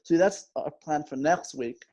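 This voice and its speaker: A young man co-hosts a casual film podcast with a voice a little higher than most men's. He jokes and teases, with hesitant "uh"s and "um"s as he goes. He is close on the mic. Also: measured pace, a little mellow. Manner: joking, teasing, with hesitant fillers. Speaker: podcast co-host.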